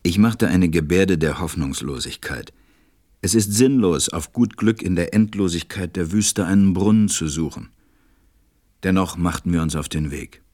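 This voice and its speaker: A man playing a grave, weary stranded pilot who narrates a German radio play in a measured, husky, deep voice.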